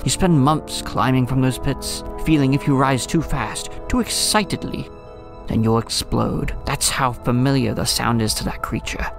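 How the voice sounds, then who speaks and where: An actor playing a lonely, brooding older lighthouse keeper in an audio drama, speaking in a wistful, poetic storyteller's way. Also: male voice, medium pitch, moderate pace, creaky, natural voice. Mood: brooding, hushed. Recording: music under the voice.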